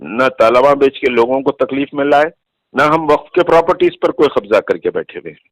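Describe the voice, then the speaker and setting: A man's voice: mid-range voice, fairly quick, no room sound. A man with a Hyderabadi accent on a recorded phone call, defending himself insistently and repeating phrases for emphasis. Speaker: political activist being questioned. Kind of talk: defensive explanation in a phone conversation. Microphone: telephone.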